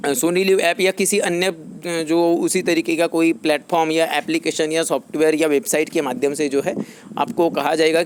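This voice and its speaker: A man hosting an informal explainer for his channel's viewers, wordy and often repeating himself. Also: on the high side. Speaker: YouTube channel host.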